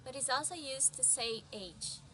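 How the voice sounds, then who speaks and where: A woman's voice: high voice, unhurried, sharp tone, poor audio. A woman in her twenties from Brazil teaching verbs, explaining each one in a friendly way. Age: 20s. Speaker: language teacher.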